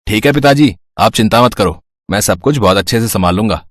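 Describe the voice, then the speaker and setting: A man voicing a foolish, overconfident young barber's son, boastful and earnest, in a Hindi animated story. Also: on the low side, fairly quick, no room sound, clean studio sound. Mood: eager.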